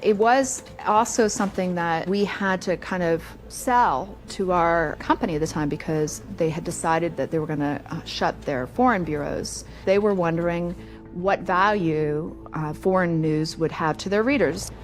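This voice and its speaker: Low-pitched woman reporter. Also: moderate pace, soft background music. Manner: conversational, lively emphasis. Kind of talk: documentary interview.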